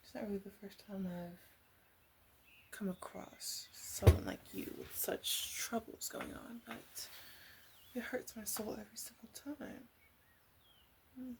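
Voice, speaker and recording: A woman playing a caring, teasing witch in a soft ASMR roleplay voice that slips into whispers. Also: medium pitch, slight background noise. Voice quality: soft, breathy, slipping into whisper. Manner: gentle, unhurried, playfully teasing. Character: female witch, flirty, caring, teasing potion seller.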